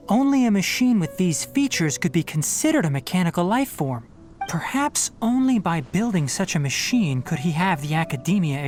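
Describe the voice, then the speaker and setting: A man voicing a young forest ranger scholar in a video game, explaining things calmly and at a measured pace. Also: on the high side, lightly acted.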